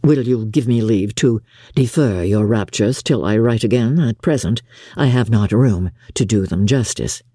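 A woman voicing a proud, reserved, coolly exacting gentleman in an audiobook, formal and measured. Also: a bass voice, husky, no room sound, clean studio sound, voice pushed down. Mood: cool reserve. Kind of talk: audiobook character acting.

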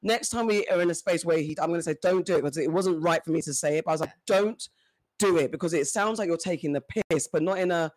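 A man with a high, bright-sounding voice talks at a rapid clip in a relaxed podcast chat. He is animated and restarts his sentences as ideas pile up. The recording is dry and close. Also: no echo, low-quality recording. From Britain. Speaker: podcast co-host. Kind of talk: casual group discussion.

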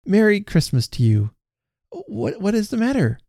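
A man's voice: a little high, animated, moderate pace, vocal fry, mellow, no room sound, studio quality, voice pushed up. A man voicing a startled, incredulous mother who exclaims and questions her children in a storybook reading.

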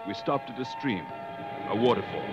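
An actor playing a reasonable, gently teasing gentleman explorer, measured and genteel, in 1950s radio drama style. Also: fairly low pitch, lively intonation, natural voice. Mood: solemn storytelling. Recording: muffled old radio broadcast with music.

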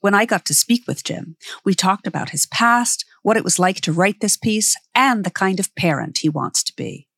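A woman's voice: medium pitch, expressive, moderate pace, vocal fry, few pauses, fairly bright tone, dry recording, clean studio sound. A woman hosting a podcast, introducing and interviewing a guest in a conversational but prepared way.

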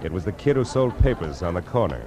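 An actor playing a world-weary private detective, wry and terse, in a 1940s radio drama. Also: male voice, sits low, very animated, fast, crowd noise. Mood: cynical, hard-boiled. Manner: wry, terse, tough-talking. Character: hard-boiled world-weary private detective, kind to kids. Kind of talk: 1940s radio drama, first-person noir narration. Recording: vintage radio recording with music behind.

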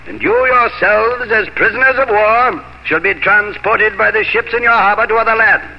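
An actor playing a stern, cold British army captain, formal and commanding, in a 1950s radio drama. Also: male voice, sits high, normal speed. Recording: dull, noisy old radio recording.

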